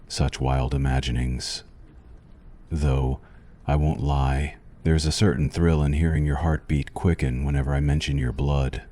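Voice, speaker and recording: A man playing a suave, possessive vampire doctor in an ASMR roleplay, speaking formally, with teasing and quiet menace. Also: rumbling low, steady tone, a little slow. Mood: sly, menacing. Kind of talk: ASMR roleplay, scripted monologue to the listener.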